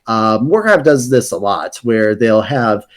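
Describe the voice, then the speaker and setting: A man's voice: lowish voice, animated, unhurried. A man on a podcast explaining fantasy game lore in a casual, rambling way, with many fillers and restarts. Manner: rambling, self-correcting, hesitant fillers.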